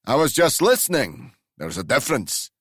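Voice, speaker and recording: A man voicing a wizened old transporter driver with a Glasgow accent, loud and indignant. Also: medium pitch, very animated, normal speed, breathy edge, dry recording, clean studio sound, pitched above the performer's own voice, heavily acted. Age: older.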